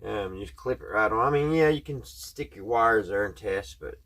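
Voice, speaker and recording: A man with a Southern US accent and a slightly husky voice, chatting casually in a folksy way as he talks through a hands-on repair, thinking aloud. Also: on the low side, animated, normal speed, a bit bright. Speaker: host of a radio repair video. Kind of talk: casual hands-on tutorial chat. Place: at a workbench.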